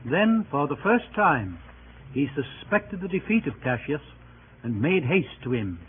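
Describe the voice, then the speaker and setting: Higher-pitched male narrator, old radio. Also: very animated. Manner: formal, measured, grave. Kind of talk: scripted 1930s radio drama narration. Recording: muffled, scratchy 1930s radio broadcast.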